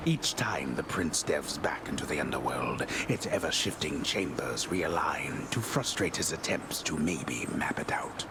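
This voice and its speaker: An actor playing a solemn underworld mentor and storyteller in a video game, speaking formally and with measured gravity. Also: male voice, rumbling low, lively intonation, noisy background, heavily acted. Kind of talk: video game voice acting, scripted narration.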